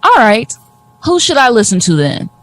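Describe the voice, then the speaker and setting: Animated, brash, teasing friend. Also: female voice, a little high, moderate pace, no echo. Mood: teasing, excited. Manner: slangy, blunt. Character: brash, teasing female friend, slangy and warm-hearted. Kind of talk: modern-day audio drama dialogue.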